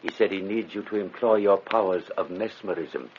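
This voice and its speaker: An actor playing a deferential but persistent household servant, formal and polite, in an old radio drama style. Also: male voice, low voice, normal speed, lightly acted. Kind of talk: vintage radio drama acting. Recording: dull, noisy old radio recording.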